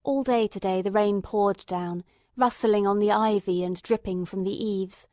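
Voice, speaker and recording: A woman reading literary narration for an audiobook in a measured, expressive storytelling manner. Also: medium pitch, dry recording, studio quality.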